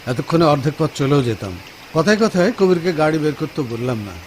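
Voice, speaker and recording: Man playing an impatient, higher-voiced husband. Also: animated, a little fast, a little mellow, slight background noise, natural voice. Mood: impatient, dismissive. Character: impatient, dismissive office-going Bengali husband. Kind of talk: Bengali radio drama acting.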